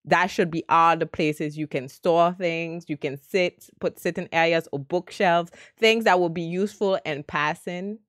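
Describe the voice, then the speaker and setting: Woman from the Caribbean, low voice, dry close headset sound, chatty reaction commentary. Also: unhurried, few pauses, no room sound. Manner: chatty, thinks aloud, loose phrasing. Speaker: reaction video host. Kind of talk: casual commentary reacting to a video. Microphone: headset mic at a desk.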